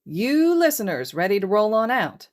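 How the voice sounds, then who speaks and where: A narrator voicing a loud, dramatic and playful man in an audiobook story. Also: medium pitch, big pitch swings, moderate pace, pitched above the performer's own voice, natural voice. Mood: upbeat. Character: loud, dramatic, theatrical adult man, hero teacher. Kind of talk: audiobook character voice.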